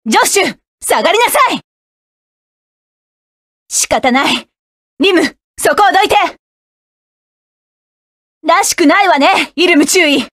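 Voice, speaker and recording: A proud, sharp-tongued woman mecha pilot snaps curt battle orders in a high voice. She takes her time over each short game line, and the sound is close and dry. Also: lively intonation, unhurried, pauses often, no echo, full character voice. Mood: fierce, commanding. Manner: curt, commanding barks. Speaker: game character. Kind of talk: video game battle voice lines.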